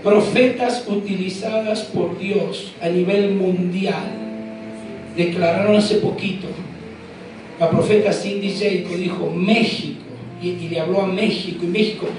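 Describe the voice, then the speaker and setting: Argentine preacher shouting in echoey hall. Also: male voice, high-pitched, steady tone, a little slow, distant mic. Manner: fervent preaching with shouts and quips. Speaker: pastor. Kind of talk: energetic sermon. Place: large packed church hall. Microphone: handheld mic. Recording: echoey hall, crowd noise. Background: congregation noise.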